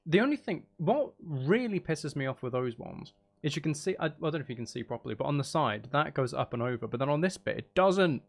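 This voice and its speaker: A man's voice: a little high, lively intonation, a little fast, vocal fry, few pauses. A man chatting casually on a gaming livestream, thinking aloud as he builds and bantering playfully with viewers, with some mild swearing. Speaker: livestream host.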